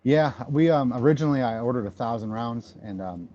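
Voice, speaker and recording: A man's voice: animated, fairly quick, dry recording. A man in his thirties from the Detroit area, chatting casually on a podcast and rambling with many ums and restarts. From Michigan, near Detroit. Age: 30s. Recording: dull low-fi audio, faint noise.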